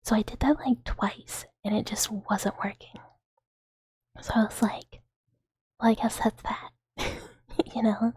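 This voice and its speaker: Woman, whispered ASMR chat, very breathy, slightly high voice, playful and rambling, livestream host, dry close sound. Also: fairly even intonation, measured pace, long pauses, darkish tone, dry recording. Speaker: livestreamer hosting a chat stream.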